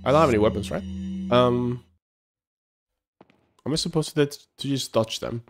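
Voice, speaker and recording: A man's voice: mid-range voice, normal speed. A man in his thirties streaming a horror game live, joking and laughing at himself as he plays, on a headset mic. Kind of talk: live gameplay commentary. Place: home room, indoors. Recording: game music behind voice.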